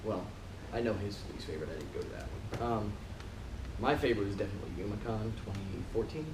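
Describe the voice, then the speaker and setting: A man's voice: lowish voice, quick pace. A man in his twenties chatting informally and jokingly as a convention panelist. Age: 20s. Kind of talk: casual panel chat. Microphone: distant from mouth. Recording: echoey, distant, noisy room.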